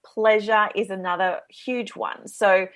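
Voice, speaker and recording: An Australian woman talks as a guest on a radio interview, explaining her coaching work in an earnest, eager way. Her voice sits at an ordinary middle pitch for a woman. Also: steady tone, unhurried.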